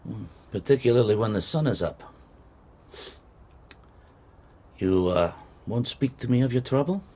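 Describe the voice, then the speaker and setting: A man playing a worldly professor in a radio play, wry and probing. His voice is husky and moves freely up and down, yet the phrasing stays dry and erudite. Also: mid-range voice, expressive, brisk, warm tone, lightly acted. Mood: probing, coaxing. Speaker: actor. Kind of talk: radio drama acting.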